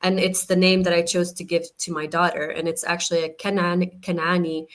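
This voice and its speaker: A woman's voice: on the low side, little pitch movement, brisk. A woman raised in the US, now living in Jordan, answering interview questions hesitantly with uhs and restarts. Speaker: guest artist.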